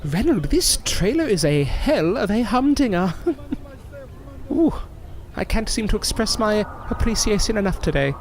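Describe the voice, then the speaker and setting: An actor playing a proud, easily wounded older stage magician in an audio drama, with wordy, formal, theatrical diction. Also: male voice, high-pitched, sing-song, measured pace, a little hiss or hum, heavily acted. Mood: grateful.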